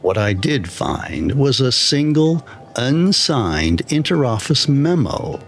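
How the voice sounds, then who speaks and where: A man narrating a scripted first-person horror story in a measured, deliberate, solemn manner. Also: mid-range voice, sing-song. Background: background music.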